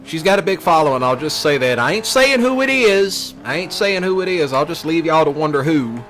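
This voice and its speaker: Animated Southern US man ranting, highish voice. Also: fairly quick, soft background music. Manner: folksy, brash, repeats himself, rural slang. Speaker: streamer. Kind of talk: casual rambling rant over game play. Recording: noisy, with steady vehicle sounds behind.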